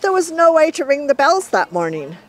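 A middle-aged woman reading a children's story aloud in an expressive storyteller's way. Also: high voice, fairly quick, slight background noise.

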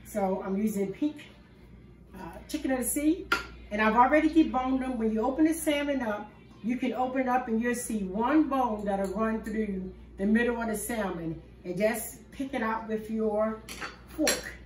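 A woman's voice: fairly high pitch, normal speed, a little mellow. An older woman hosting a home cooking video, explaining each step in a homey, easygoing way from her kitchen. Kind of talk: casual cooking demonstration. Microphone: far camera on the counter. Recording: roomy kitchen sound from a distance.

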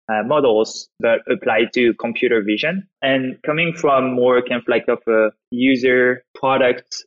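A man's voice: medium pitch, muffled recording. French-accented man, casual interview talk. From France. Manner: conversational, loose phrasing with frequent fillers. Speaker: guest, startup founder. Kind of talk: podcast interview.

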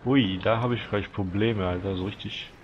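A teenage boy comments on his tank game in an easygoing, chatty way, speaking straight to his viewers. His voice sits fairly low and sounds stuffy from a cold. The desk mic recording is a little dull, with a faint hiss underneath. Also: moderate pace. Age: teens. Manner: chatty, relaxed, talks to viewers. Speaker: gaming channel host. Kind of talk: casual gameplay commentary.

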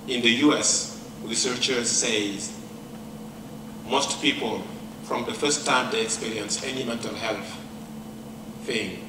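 A middle-aged man from Senegal, whose first language is not English, gives a personal lecture in a large hall, speaking in a measured, reflective way with many pauses. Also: mid-range voice, far from the mic. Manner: reflective, measured, pausing often. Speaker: professor giving a lecture. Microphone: handheld mic, far camera. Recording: echoey hall mic with room noise.

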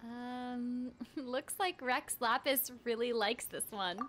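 A woman's voice: on the high side, slow, fairly bright tone, slight background noise. A young woman chatting casually and playfully on a game livestream, giggly and given to little exclamations. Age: young adult. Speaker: game streamer and voice actress. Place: indoor room at home. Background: video game music.

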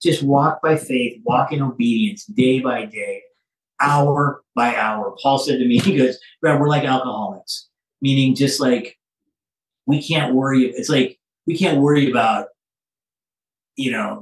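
A middle-aged man talks in a reflective, earnest way, pausing as he searches for words. His pitch is medium. He sounds some distance from a phone mic, with plenty of room echo. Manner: reflective, halting, with deliberate pauses. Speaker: studio head being interviewed. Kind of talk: earnest interview conversation. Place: small office, on a video call. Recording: roomy, distant phone microphone.

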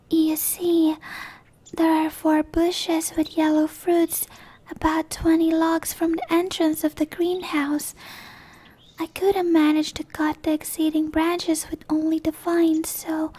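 A woman playing a shy, gentle dryad monster in an ASMR roleplay, in a soft breathy whisper with hesitant, stammering, apologetic delivery. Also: very high voice.

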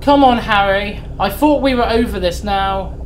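A woman's voice: mid-range voice, normal speed, slight background noise, close to an everyday voice, rough recording. A woman voicing a timid old male engine who speaks meekly.

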